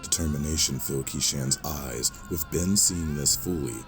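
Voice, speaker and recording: A man's voice: extremely low pitch, moderate pace, over music. A man giving scripted, dramatic narration as the storyteller of an audio drama.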